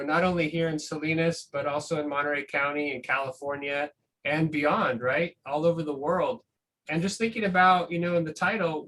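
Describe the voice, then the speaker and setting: A man's voice: on the high side, fairly bright tone, a little hiss or hum, rough recording. A man speaking as an educator, casually explaining things and often checking in with the listener. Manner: conversational, often seeking agreement with tag questions. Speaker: science educator, guest reader.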